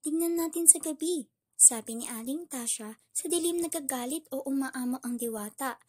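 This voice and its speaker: Woman as eager village girl, radio drama. High voice, inquisitive, earnest. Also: normal speed, warm tone, natural voice. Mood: curious. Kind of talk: amateur radio drama acting.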